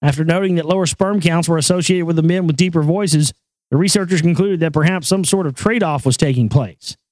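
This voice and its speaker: A man with a high voice hosting a casual talk show, joking crudely and rambling over news items. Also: brisk, a little mellow, no echo. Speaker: show host.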